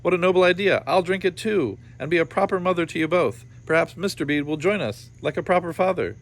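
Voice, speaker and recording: A man's voice: high voice, fairly quick, voice pushed up, poor audio. A man voicing a doting, sentimental mother in a storybook. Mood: delighted. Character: doting, sentimental mother, grown woman, determined.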